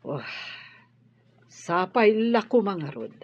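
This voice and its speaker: A woman's voice: medium pitch, sing-song, takes it slowly, husky, full character voice. A woman playing an earnest, well-off madam in an Ilocano radio drama, searching for her past. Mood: earnest, wistful. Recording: dull sound with music behind.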